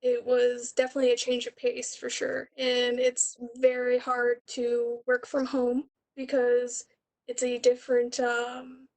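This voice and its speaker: A young woman with a fairly high voice answers questions about her own student production. Her intonation is extremely flat, and she explains things in an informal, conversational way. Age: young adult. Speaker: student director presenting a capstone project.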